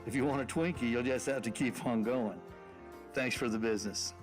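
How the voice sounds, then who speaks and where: Plainspoken station owner. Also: male voice, medium pitch, a little fast, faint music behind. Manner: plainspoken, folksy. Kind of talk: radio ad read.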